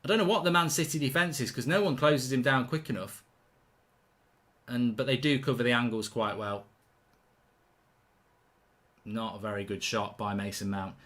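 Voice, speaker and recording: A man's voice: mid-range voice, fairly bright tone. Blunt man hosting a live match watchalong. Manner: chatty, reactive, blunt and indignant with mild swearing. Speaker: football watchalong host. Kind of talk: live match watchalong commentary reading viewer chat.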